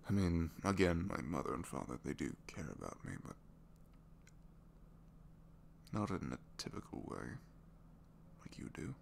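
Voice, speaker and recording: A man playing a captured, reluctantly evil supervillain in a romantic ASMR roleplay, bashful and lovestruck, speaking softly and haltingly and slipping into whispers. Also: sits low, big pitch swings, creaky, slight background noise, exaggerated voice. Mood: earnest, vulnerable. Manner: halting, self-deprecating, soft confiding delivery slipping into whispers.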